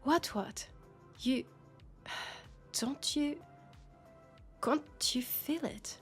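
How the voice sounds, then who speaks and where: Woman acting as french accented cop roommate, sci-fi audio roleplay, slow deliberate talk, bossy but caring, bit of music behind. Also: a little high. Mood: alarmed. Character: sharp, scolding yet caring young policewoman roommate in sci-fi. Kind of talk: intimate scripted roleplay audio drama.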